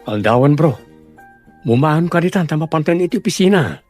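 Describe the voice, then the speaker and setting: A man's voice: fairly high pitch, lively intonation, fairly quick, a little mellow, faint music behind, close to an everyday voice. A man with a Filipino accent playing a caring, easygoing young workmate in an audio drama. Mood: concerned. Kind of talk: Ilocano audio drama acting.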